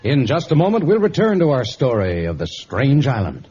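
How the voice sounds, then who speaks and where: An actor as the grave, urgent narrator of a jungle adventure in a vintage radio serial. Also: male voice, mid-range voice, wildly swooping pitch, normal speed, over loud music. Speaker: narrator and announcer. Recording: muffled old radio recording.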